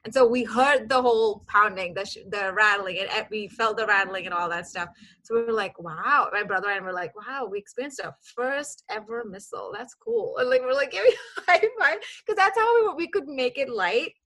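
A woman in her thirties from Kuwait, telling her life story as a podcast guest in a lively, chatty way with laughs and restarts. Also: a little high, a little fast. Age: 30s. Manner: animated, laughs often, many restarts and fillers. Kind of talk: casual storytelling.

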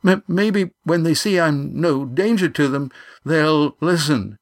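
A man playing a tearful, high-voiced sailor boy. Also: slow pace, no echo, clean studio sound. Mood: trembling, pleading. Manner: sobbing, stammering, trembling delivery. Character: frightened, guilt-ridden, deceitful sailor boy, tearful. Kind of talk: audiobook character acting.

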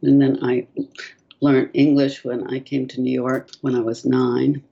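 An older woman whose first language is French, answering interview questions softly and hesitantly over a video call. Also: extremely low pitch, little pitch movement, unhurried, vocal fry, husky, muffled recording. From France. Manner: soft-spoken, hesitant, many ums. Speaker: author, guest.

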